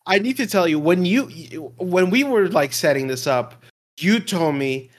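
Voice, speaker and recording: A man co-hosting a podcast in casual chat, excitable and gushing, often restarting his sentences. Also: high voice, moderate pace. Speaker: podcast co-host.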